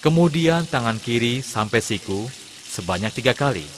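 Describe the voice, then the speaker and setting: A man, an Islamic religious teacher, giving measured step-by-step instruction in a scripted lesson narration for children. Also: medium pitch, animated. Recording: long hall reverb, clear background noise.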